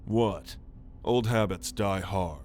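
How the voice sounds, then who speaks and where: Man acting as alien warrior fugitive, lowish pitch, haughty, sardonic, measured, ASMR roleplay, background ambient noise. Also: a little mellow. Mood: exasperated, sardonic. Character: proud, gruff alien warrior, a renegade military fugitive. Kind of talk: ASMR roleplay monologue to the listener.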